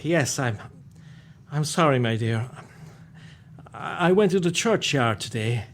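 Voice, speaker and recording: A man acting a gentle, grieving father in a radio play, halting and stammering tenderly. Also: medium pitch, expressive, a little hiss or hum. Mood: weary, wistful. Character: gentle, grief-stricken humble father.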